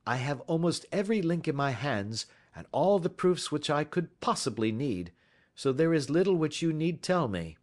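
Gentleman sleuth for classic storybook, played by a man. Suave, confident, wryly mocking, exact, animated and raised in pitch. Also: moderate pace. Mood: assured, accusing. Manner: suave, precise, wry. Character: shrewd, self-assured male gentleman detective, gently mocking.

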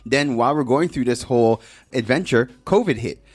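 A man's voice: medium pitch, moderate pace, breathy edge. A man hosting a show, talking in a casual, conversational way with plenty of slang. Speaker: show host. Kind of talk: casual podcast-style talk.

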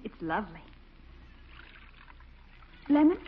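A woman's voice: medium pitch, very animated, slow. An actress playing a polite, sweet, demure music teacher in a 1940s radio comedy, ladylike and accommodating. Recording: very noisy, tinny 1940s radio recording.

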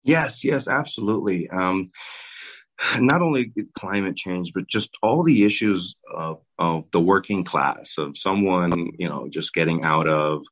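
Young male mayor from the Dominican Republic, extremely deep voice, halting casual answers. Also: a bit bright. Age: young adult. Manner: halting, with many restarts and repeated words. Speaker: mayor and city commissioner.

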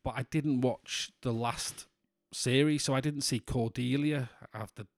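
A man from in England co-hosting a podcast in casual chat, with a monotone voice and a rambling way of talking full of restarts. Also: mid-range voice, a little slow, no echo, clean studio sound. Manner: rambling, many restarts and fillers. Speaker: podcast co-host.

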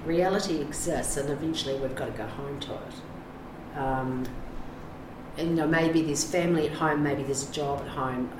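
A woman's voice: sits low, normal speed. A middle-aged woman, talking frankly about addiction recovery in an interview, full of fillers and restarts. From New Zealand. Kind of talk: candid interview talk. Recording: echoey room with background noise.

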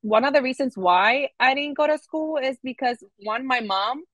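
A woman in her thirties with a New York accent speaks as a guest on a casual podcast. She talks in a relaxed, reflective way with plenty of filler words. Her voice sits a little high, and the sound is close and dry. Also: normal speed, no room sound. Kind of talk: casual conversational interview.

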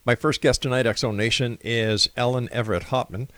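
A man's voice: mid-range voice, steady tone, a little fast, fairly bright tone, no echo. A man hosting a late-night radio talk show, opening in announcer style and then interviewing his guest. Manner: announcer-style, rattles off station lists.

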